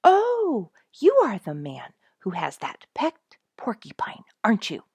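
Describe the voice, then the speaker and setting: A woman voicing an eager, earnest admirer in a storybook, with excited, emphatic questioning. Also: mid-range voice, a little slow, slightly rough, no room sound, voice pushed up, exaggerated voice. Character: eager, earnest admirer asking after a famous pet porcupine.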